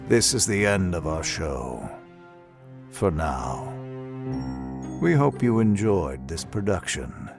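A man with a gravelly voice announcing a horror podcast sign-off in a slow, theatrical, scripted delivery. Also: deep voice, very animated. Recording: clear music bed under the voice.

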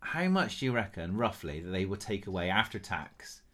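A man chatting on a podcast, his voice bright and swinging widely in pitch. He jokes around with his co-host in a loose, relaxed way. He sometimes slips into high falsetto when he sings. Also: moderate pace, a little hiss or hum. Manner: jokey, breaks into high falsetto singing impressions. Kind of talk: casual chat.

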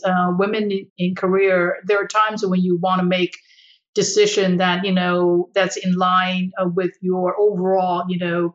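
A woman's voice: on the low side, highs cut off. Older woman. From Taiwan. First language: Chinese. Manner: measured, with frequent fillers and restarts. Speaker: interview guest. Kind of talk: reflective conversational storytelling.

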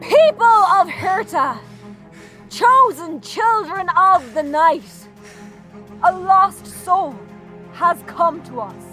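A woman's voice: very high voice, lively intonation, extremely slow, pushed and bright, faint music behind, exaggerated voice. An actress playing a solemn, commanding cult woman addressing followers in a declamatory way in an audio thriller. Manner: declamatory, ceremonial. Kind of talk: dark audio thriller acting.

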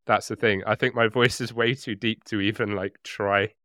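A man chats casually as a podcast co-host. His voice is smooth and clear, recorded dry. He rambles a little hesitantly, with a joking streak. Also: fairly low pitch, steady tone, normal speed, fairly bright tone, no echo. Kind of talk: casual chat.

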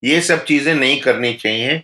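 A man speaking as a doctor, giving calm, instructive health advice in an interview. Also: mid-range voice, moderate pace, roomy sound.

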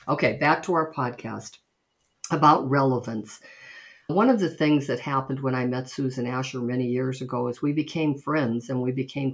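A woman hosting a podcast, introducing her guest and asking questions with enthusiasm. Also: extremely low pitch. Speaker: podcast host and coach. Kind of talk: conversational interview.

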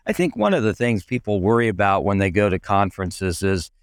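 Man, raspy hoarse voice, podcast host, rambling friendly chat, dry. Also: lowish voice, sing-song, fairly quick, no echo. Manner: friendly, rambling with many fillers.

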